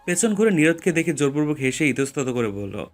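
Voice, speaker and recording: A man's voice: fairly high pitch, very animated, a little fast, faint music behind. A man reading scripted story narration as the narrator.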